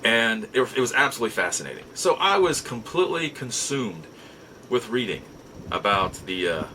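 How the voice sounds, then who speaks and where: A middle-aged American man telling nostalgic personal stories in a casual, halting, reflective way with drawn-out pauses. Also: medium pitch, big pitch swings, bright, forceful tone, slight background noise, poor audio. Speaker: podcast host. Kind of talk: casual nostalgic storytelling monologue.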